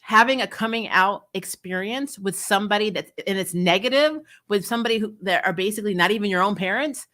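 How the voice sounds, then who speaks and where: Bright-voiced woman giving opinionated commentary. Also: medium pitch, brisk, few pauses. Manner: candid, emphatic, conversational with mild swearing. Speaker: host of a TV show review channel. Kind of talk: casual, opinionated episode commentary.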